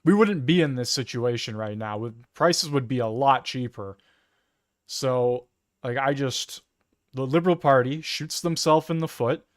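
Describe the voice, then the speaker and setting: A Canadian man with a smooth, clear voice at an average pitch. He gives opinionated political commentary in a casual, informal way. The sound is close and dry, with little room in it. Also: a little slow, no room sound. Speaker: co-host commentator.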